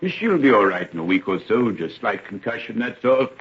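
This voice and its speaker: A man playing a brisk, reassuring country doctor in an old-time radio drama, matter-of-fact in manner. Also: lowish voice, very animated, a bit bright, faint music behind. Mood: calm, reassuring. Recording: dull old radio recording.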